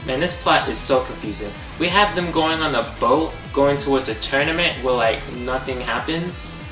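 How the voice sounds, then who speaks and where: A young man hosting a comedic movie review, sarcastic and exasperated. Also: a little high. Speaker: host. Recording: echoey, distant, under music.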